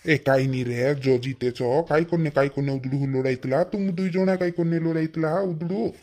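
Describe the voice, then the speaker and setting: A weary old village man in a cartoon comedy, acted by a man. His voice is a little high for a male, and he scolds in repeated phrases. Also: moderate pace, darkish tone, natural voice. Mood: weary, scolding. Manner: chiding, repetitive.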